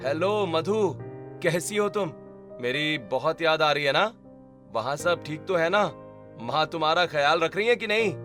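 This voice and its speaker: A man's voice: fairly high pitch, animated, unhurried, bright, forceful tone, soft background music. A man voicing a caring young son and husband in a cartoon story, earnest and concerned. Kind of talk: dramatic Hindi cartoon story acting.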